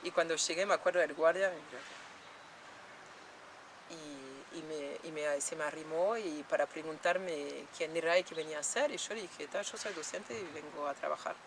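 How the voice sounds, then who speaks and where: A woman's voice: sits low, moderate pace, noisy background. A woman from France in an interview, speaking reflectively with many fillers and restarts. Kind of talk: documentary interview.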